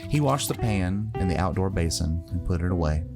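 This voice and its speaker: A man's voice: low-pitched, animated, brisk. A man narrating a scripted fantasy story, with plucked guitar music playing behind him.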